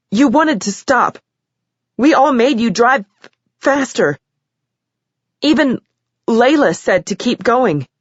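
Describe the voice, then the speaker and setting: A woman's voice: medium pitch, dry recording, highs cut off, pitched above the performer's own voice. A woman voicing a teenage girl who gives a tearful statement in court, her voice shaking as she cries. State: crying.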